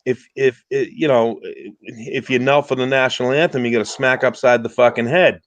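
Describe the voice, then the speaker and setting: A man's voice: mid-range voice, normal speed, no echo, highs cut off. A man in his fifties from the United States, calling into a livestream for casual political chat, blunt and repeating himself as he stammers into his points. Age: 50s. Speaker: caller on a livestream.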